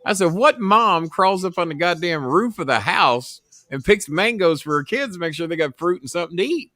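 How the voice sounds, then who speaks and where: A man hosting a casual livestream watch-along, rambling and repeating himself, often trailing off quietly. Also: high voice, expressive, moderate pace, no echo. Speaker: livestream host.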